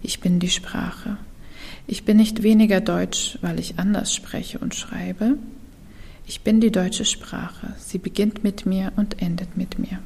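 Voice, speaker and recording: A young woman whose first language is Kurdish performs spoken-word poetry, slow and deliberate, often dropping to a whisper. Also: mid-range voice, fairly even intonation, vocal fry, darkish tone, slightly rough. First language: Kurdish (Kurmanji). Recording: reverberant hall.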